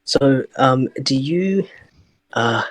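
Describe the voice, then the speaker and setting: A man in an online voice chat, asking questions haltingly, searching for words with fillers. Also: mid-range voice, expressive, crowd noise. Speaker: chat room participant.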